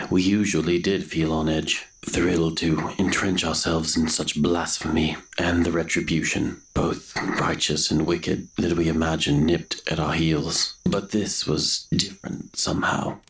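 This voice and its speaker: Man acting a very deep, gravelly haunted narrator. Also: rough recording. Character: haunted male grave robber, brooding, recounting his doom. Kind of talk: first-person gothic horror narration.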